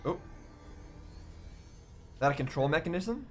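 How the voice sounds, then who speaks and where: A man's voice: on the high side, expressive, a little slow, over music. A man giving casual, running reaction commentary on video game cutscenes.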